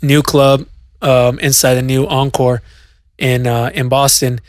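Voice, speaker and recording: A man's voice: mid-range voice, little pitch movement, a little slow, no room sound. Man with a dry, close-mic'd sound. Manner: rambling storyteller, many fillers and restarts. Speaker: podcast co-host and DJ. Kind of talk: casual podcast chat.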